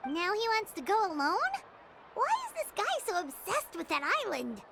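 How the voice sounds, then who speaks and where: A woman voicing a small, chirpy, childlike fairy companion in a video game, squeaky and blunt. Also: expressive, normal speed, creaky, very noisy, full character voice. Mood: puzzled.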